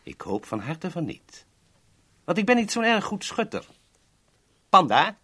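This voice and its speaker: An actor in a 1970s radio play voicing a polite, formal boss who is coolly threatening. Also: male voice, sits high, huge pitch swings, a little slow, sharp tone, full character voice. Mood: courteous menace. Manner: formal, courteous, smoothly threatening.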